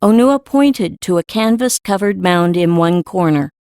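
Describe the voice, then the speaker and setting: A woman's voice: on the low side, lively intonation, a little slow, darkish tone, no room sound, clean studio sound. A woman reading scripted story narration for an audiobook.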